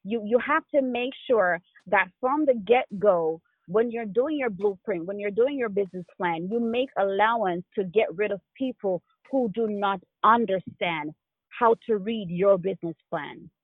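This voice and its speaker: A woman from Jamaica with a Jamaican accent, telling stories as a guest in a casual interview, with quick laughs. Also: mid-range voice, husky, no room sound. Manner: animated storyteller, quick laughs. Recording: tinny phone line.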